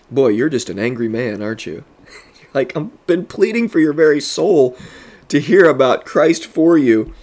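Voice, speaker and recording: A man's voice: mid-range voice, a little mellow. A man who pastors and hosts a podcast, teaching earnestly with a congested voice and building emphasis on his points.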